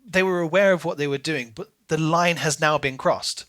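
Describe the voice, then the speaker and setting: A man co-hosting a podcast in a casual book discussion, speaking in an animated, emphatic, opinionated way. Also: fairly high pitch, moderate pace. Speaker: podcast co-host.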